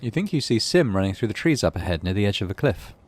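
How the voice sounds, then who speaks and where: A man's voice: fairly low pitch, big pitch swings, fast talker, husky. A man giving a casual let's play commentary, thinking aloud and reading story text from the game. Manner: thinks aloud while playing. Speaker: gaming channel host playing a visual novel.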